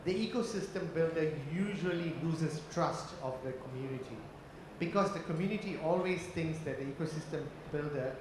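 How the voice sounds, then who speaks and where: Middle aged malaysian guy asking from the audience, deliberate, slightly high voice, really echoey noisy room. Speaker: audience questioner, startup organisation head. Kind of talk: question from the floor. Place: conference hall. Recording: very echoey, noisy conference hall.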